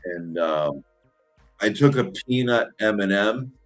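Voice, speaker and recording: A man's voice: lowish voice, lively intonation, slow pace, soft background music. A man hosting a call-in show, telling a crude story in a rambling, casual way with lots of fillers.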